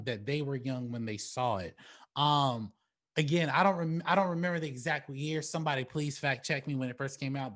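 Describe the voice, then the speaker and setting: A man's voice: fairly high pitch, fairly bright tone. A man hosting a casual movie talk show, slangy and emphatic, often stammering and repeating his words as he gives opinions.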